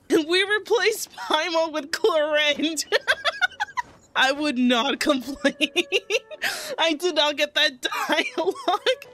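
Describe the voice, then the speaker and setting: A woman's voice: extremely high pitch, big pitch swings, extremely slow, long pauses, a bit bright, slight background noise. A woman streaming a game, chatting playfully over the dialogue. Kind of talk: casual let's-play commentary.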